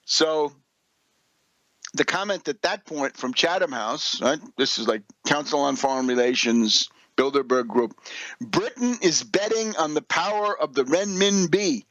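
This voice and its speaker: A man's voice: a little high, animated, unhurried, fairly bright tone, no echo, poor audio. An American man hosting a radio commentary, reading out and remarking on material in an emphatic, opinionated and sardonic manner. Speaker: radio show host and political commentator. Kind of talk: political commentary, partly reading articles aloud with asides.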